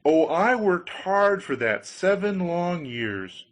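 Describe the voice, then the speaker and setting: Guy doing a naive happy young lad from a fairy tale, high voice, super eager and swoopy. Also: very animated, slow pace, full character voice. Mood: admiring, proud. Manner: eager, exclamatory. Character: cheerful, gullible young man, naive and easily delighted. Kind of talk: storybook character voice.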